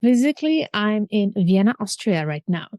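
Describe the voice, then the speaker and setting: A woman from Algeria telling her life story as a podcast guest, animated and laughing often. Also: medium pitch, moderate pace, no room sound, clean studio sound. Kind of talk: casual interview chat.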